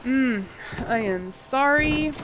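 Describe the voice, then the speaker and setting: Slightly husky woman, chatty and tired. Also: high voice, expressive, slow pace, a bit bright, a little hiss or hum, low-quality recording. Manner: chatty, apologetic, laughs often. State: slightly husky from a fading cold; tired, yawning late at night. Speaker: gaming channel host. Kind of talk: casual game-building commentary. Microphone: home voice mic.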